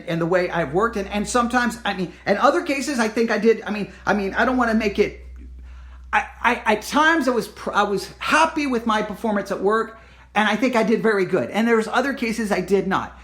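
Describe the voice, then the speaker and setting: Hoarse, rambling male podcast host. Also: sits high, fairly bright tone. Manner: rambling, self-correcting, repeats himself; earnest, pleading with listeners. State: losing his voice. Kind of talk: casual solo podcast update.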